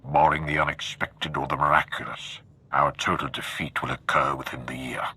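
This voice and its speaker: Very deep, imperious dark lord. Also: male voice, flat delivery, normal speed, slightly rough, heavily acted. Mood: grim, solemn. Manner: grave, formal, imperious. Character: stern, commanding male dark lord of a galactic empire. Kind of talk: dramatic video game acting.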